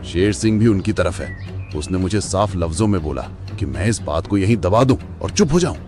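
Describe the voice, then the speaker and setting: A man voicing a resentful, crafty wolf in an animated moral story, theatrical and aggrieved. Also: deep voice, moderate pace, faint music behind. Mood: rabble-rousing. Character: resentful, crafty male wolf, power-hungry troublemaker.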